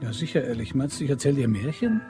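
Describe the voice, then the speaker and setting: An actor plays a flirtatious hustler, a man sure of himself, in a radio crime play. His pitch is medium and his manner cocky. Music runs clearly behind him. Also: sing-song, fast, natural voice. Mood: teasing.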